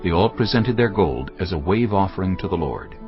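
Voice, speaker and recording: Male narrator, very deep, reverent and measured, faint music bed. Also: lively intonation. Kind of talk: scripted narration of scripture. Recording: dull studio recording with soft music. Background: soft background music.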